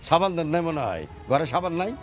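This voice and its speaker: A man's voice: a little high, big pitch swings, fast, faint music behind. A man acting a newly rich, contemptuous father-in-law in a family drama, with a Bangladeshi accent. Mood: scornful. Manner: mocking, contemptuous, needling.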